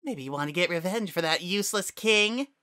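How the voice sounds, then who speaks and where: A man's voice: lively intonation, brisk, sharp tone. A man voicing a small, spiteful, menacing flower creature who shrieks and taunts. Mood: mocking. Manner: high taunting shrieks, cackling.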